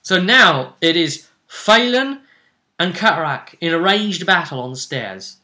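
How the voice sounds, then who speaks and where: A man retelling a tabletop roleplay session as game master, in animated, emphatic conversational storytelling. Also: on the high side, takes it slowly.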